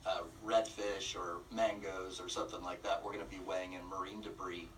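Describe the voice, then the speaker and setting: A man explaining things conversationally as a radio interview guest, often pausing mid-phrase. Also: sits low, flat delivery, recorded from a distance, a little hiss or hum, rough recording. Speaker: interview guest, nonprofit representative.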